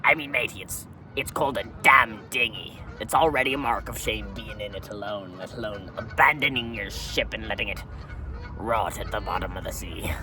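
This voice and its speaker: A man's voice: on the low side, moderate pace, over music, natural voice. A man voicing a crude, swaggering, unwashed pirate in a comic monologue, rambling offhand and calling everyone "matey" in pirate slang.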